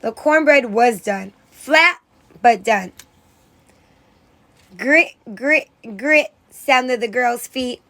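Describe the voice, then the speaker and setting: A woman with a high-pitched voice reads a children's story aloud as a teacher would. Her narration is expressive, putting dramatic emphasis on key words and character moments. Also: extremely slow, pauses often.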